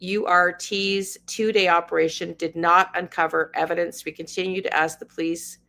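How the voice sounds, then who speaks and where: Bright-voiced woman, chatty host. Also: lowish voice, steady tone, moderate pace, creaky. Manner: chatty, talks to chat viewers by name. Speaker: livestream host. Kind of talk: casual livestream chat, reading news aloud.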